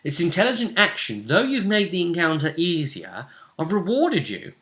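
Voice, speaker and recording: A man's voice: fairly high pitch, huge pitch swings, normal speed, fairly bright tone. A British man talking casually and animatedly as game master, recapping a tabletop role-playing session and acting out little asides. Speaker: game master, host of a tabletop RPG vidcast.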